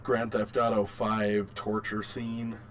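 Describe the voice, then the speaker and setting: Slow-paced man chatting casually. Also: on the low side, level tone, a bit bright. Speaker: podcast co-host. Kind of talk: casual chat about video games and films.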